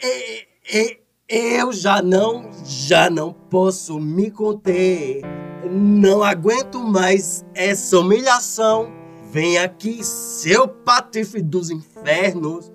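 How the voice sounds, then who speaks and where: Casting: stammering, indignant henpecked son for radio comedy, extremely halting delivery, full of bluster. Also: male voice, sits high, faint music behind. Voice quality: heavy stutter. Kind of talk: radio soap opera comedy acting.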